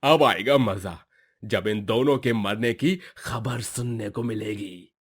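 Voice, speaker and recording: A man's voice: fairly low pitch, takes it slowly, slightly rough. A man voicing a jealous, hot-tempered villainous husband in a moral story, sneering and emphatic. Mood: gloating.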